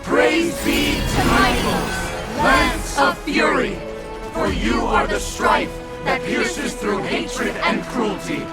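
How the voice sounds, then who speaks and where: An actor playing a fervent herald who declaims hymns of praise to gods in a grandiose, reverent manner. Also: male voice, very high voice, expressive, takes it slowly, pauses often, heavily acted. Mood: exalted, reverent. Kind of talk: theatrical liturgical declamation. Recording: loud music under the voice.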